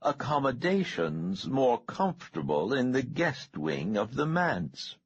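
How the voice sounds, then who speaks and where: Guy doing a slow oily billionaire, smooth and courtly, voice lifted higher than his own, bit husky. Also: huge pitch swings, no room sound, highs cut off, exaggerated voice.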